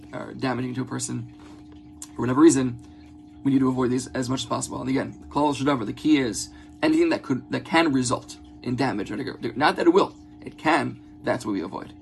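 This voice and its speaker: A man giving a religious class as a rabbi, reading Hebrew lines and explaining them in English in a halting way, often repeating words. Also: mid-range voice. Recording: clear background noise with a hum.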